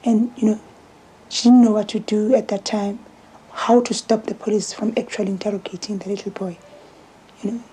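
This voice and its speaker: Husky South African woman giving testimony. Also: medium pitch, fairly even intonation, moderate pace, dark tone, poor audio. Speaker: interviewee. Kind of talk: spoken testimony in an interview.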